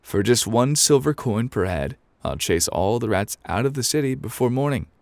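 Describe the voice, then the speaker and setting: A man's voice: medium pitch, animated, moderate pace, a little mellow, no room sound, pitched above the performer's own voice, close to an everyday voice. A man voicing a strange, sly rat catcher from a storybook in a pointed, theatrical way. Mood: polite, businesslike. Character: strange, sly male rat catcher, vengeful flute player.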